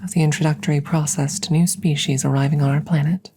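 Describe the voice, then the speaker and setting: A woman playing a calm, curious alien scientist in an ASMR roleplay, speaking softly and close up in measured, formal, clinical phrases. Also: deep voice, a little hiss or hum, close to an everyday voice. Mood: measured, explanatory. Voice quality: soft, breathy close whispering. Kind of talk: whispered ASMR audio roleplay. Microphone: close-up microphone.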